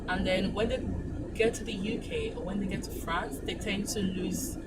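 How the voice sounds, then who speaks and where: A woman's voice: mid-range voice, normal speed, recorded from a distance. A Nigerian woman guest speaking earnestly and emphatically, repeating words as she works out her thoughts. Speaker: passenger and guest, works in law. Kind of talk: casual interview. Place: back of a moving car. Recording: noisy car, voice a little off mic.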